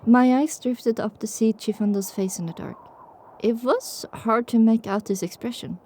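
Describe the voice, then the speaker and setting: Woman as a mellow, reflective storyteller. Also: fairly high pitch, moderate pace, a little hiss or hum, close to an everyday voice. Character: reflective, sorrowful female pony survivor telling her story. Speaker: narrator. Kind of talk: dramatic first-person scripted narration.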